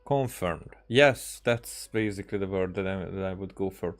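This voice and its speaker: A man's voice: low voice, normal speed. A man giving casual commentary as he plays a video game, rambling and often searching for his words. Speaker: streamer playing a video game.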